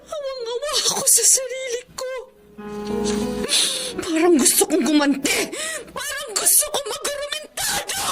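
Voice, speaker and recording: Casting: betrayed Filipina housewife, heartfelt and emotive, radio serial style with clear music bed. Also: female voice, very high-pitched, sing-song, a little slow, full character voice. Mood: tearful, anguished. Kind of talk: Tagalog radio drama acting and narration. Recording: radio drama with loud music underneath.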